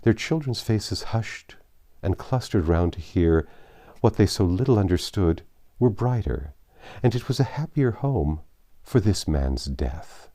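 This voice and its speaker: A man reads a classic story as an audiobook narrator. His voice is low and mellow, with wide, dramatic swings of intonation and deliberate phrasing. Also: lively intonation, dry recording, clean studio sound.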